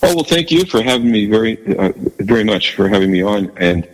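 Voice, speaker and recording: Deep bass-voiced man, roomy reverb. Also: big pitch swings. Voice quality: low bass voice. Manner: halting, warm and modest, many restarts and small laughs. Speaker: guest musician. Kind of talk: casual interview chat.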